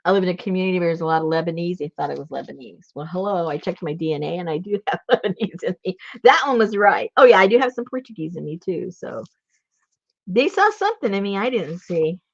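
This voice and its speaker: A woman's voice: lowish voice, expressive, fast talker, creaky, dry recording. A woman from Rhode Island chatting casually while she crafts, laughing often and telling stories. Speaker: craft livestream host. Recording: dull lo-fi sound.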